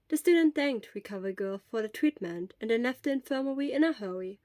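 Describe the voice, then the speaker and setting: A woman's voice: fairly high pitch, darkish tone, no echo. A woman reading scripted fiction expressively as a story narrator.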